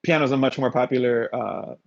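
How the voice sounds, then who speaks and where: A man from Miami with a fry-heavy voice talks casually as a musician guest. His talk is slangy and emphatic, and the recording is dull and lo-fi. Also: medium pitch, fairly quick. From Miami, Florida, United States. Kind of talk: casual chat. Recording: dull, lo-fi voice pickup.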